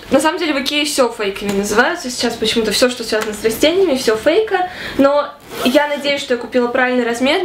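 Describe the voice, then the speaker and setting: A young woman in her twenties vlogging casually to camera in her small bedroom, chatty and animated. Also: high voice, roomy sound, background noise. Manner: chatty and animated, gesturing a lot. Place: small bedroom with fairy lights.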